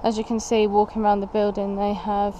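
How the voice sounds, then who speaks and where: A young woman talks her way around as she explores, chatty and quick to exclaim at each new find. Her voice is mellow and soft-edged, caught on a camera she carries with her, with a faint hiss underneath. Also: medium pitch, completely flat delivery. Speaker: urban explorer vlogger. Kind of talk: casual walk-and-talk narration. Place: outdoors and inside a derelict building.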